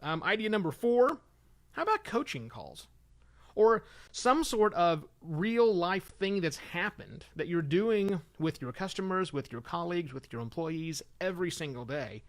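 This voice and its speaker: A middle-aged man hosting a solo show, giving informal advice in an animated, emphatic way with conversational asides. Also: high-pitched, unhurried. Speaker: podcast host and consultant.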